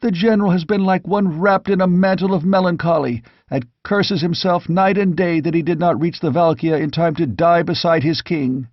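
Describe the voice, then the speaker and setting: A fervent, devoted knight, as a male narrator acts him out in a fantasy audiobook. His voice is lifted high and exclamatory, ringing with devotion to his king. The recording is close and slightly dull. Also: fairly even intonation, moderate pace, hardly any pauses, no room sound. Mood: joyful. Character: excited young knights hailing their lost king, loud, fervent.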